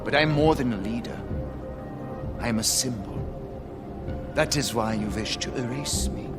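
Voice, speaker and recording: An actor playing a solemn, defiant activist leader in a video game, speaking in a measured, earnest, oratorical way. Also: male voice, medium pitch, natural voice. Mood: resolute. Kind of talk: video game cutscene acting. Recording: game cutscene under loud music.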